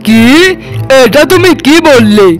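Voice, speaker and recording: A cartoon landlord father, acted by a man, with a strikingly high voice for a male. He declaims pompously and theatrically, a proud and domineering parent, with music behind him. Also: animated, slow, full character voice. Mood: indignant. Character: proud, doting but harsh landlord father of three daughters. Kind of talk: dramatic cartoon voice acting.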